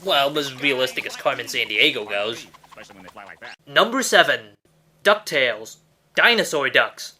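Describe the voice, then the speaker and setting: A man's voice: medium pitch, expressive, a little slow, long pauses, sharp tone. A man hosting and narrating a scripted top ten countdown. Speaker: host of a top ten list show. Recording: music behind the voice. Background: music.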